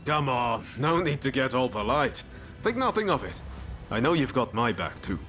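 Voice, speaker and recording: A friendly samurai-era sidekick in a game cutscene. The man's delivery is animated and casual, helpful with a teasing streak. Music plays softly underneath. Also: medium pitch, moderate pace. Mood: friendly, upbeat. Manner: casual, chummy. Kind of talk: video game cutscene acting.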